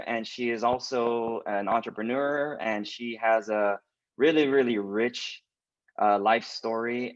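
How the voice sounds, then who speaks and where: A pastor hosting a church webinar over a video call, talking casually with lots of fillers and hesitations. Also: male voice, medium pitch, dry recording. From United States. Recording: dry, dull lo-fi video call audio.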